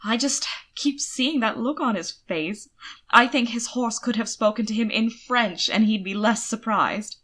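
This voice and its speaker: An indignant young gentleman from a period storybook, played by a woman. The voice is bright and expressive, proper in phrasing yet easily tipped into giggles. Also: a little high, measured pace. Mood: amused.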